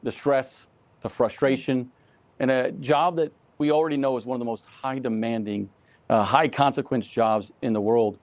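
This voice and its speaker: A man speaking as a union spokesman in a news interview, earnest, measured and emphatic. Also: mid-range voice, steady tone, darkish tone, no echo. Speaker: union president, interview guest. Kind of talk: live news interview answers.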